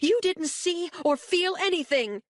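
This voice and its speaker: A woman's voice: medium pitch, big pitch swings, normal speed, no echo, clean studio sound, pitched above the performer's own voice. A woman voicing a grieving mother who speaks in an emphatic, insistent, tearful way. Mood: distraught. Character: distraught mother, older woman, sharp and grieving.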